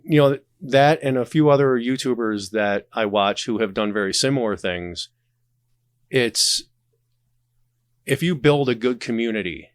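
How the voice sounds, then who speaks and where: A man talking casually as a podcast guest, telling anecdotes in an animated, conversational way. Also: medium pitch, no room sound, clean studio sound. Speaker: podcast guest, audio drama creator.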